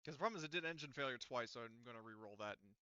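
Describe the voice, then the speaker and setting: Guy game mastering, crisp forward voice, dry slightly dull mic, chatty explaining. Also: mid-range voice, animated, rapid-fire, pushed and bright, dry recording. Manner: informal, explanatory. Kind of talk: casual tabletop game narration and chat.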